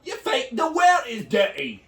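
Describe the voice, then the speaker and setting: A man's voice: very high voice, wildly swooping pitch, measured pace, a bit bright. A man doing rowdy improvised comedy in a put-on British bloke voice, teasing his partner. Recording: echoey room, far from mic.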